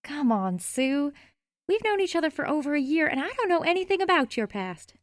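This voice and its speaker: A woman's voice: high-pitched, big pitch swings, brisk, no room sound, clean studio sound. An actress playing a curious young woman who teases and probes her friend in a radio play. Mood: teasing. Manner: teasing and probing. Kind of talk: radio drama acting.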